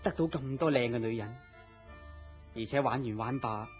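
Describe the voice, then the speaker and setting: A man's voice: mid-range voice, moderate pace, natural voice. An actor with a Hong Kong Cantonese accent playing a polite, bashful man courting his boss's mistress in a radio drama, deferential and hesitant. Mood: sympathetic, envious. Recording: muffled lo-fi radio drama, music behind.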